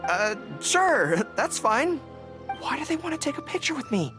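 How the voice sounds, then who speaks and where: A woman's voice: high voice, sing-song, moderate pace. A woman voicing an earnest, polite, easily flustered young male dog-eared general in a video game. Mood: polite, puzzled. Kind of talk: English video game voice acting. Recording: music under the voice. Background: game music.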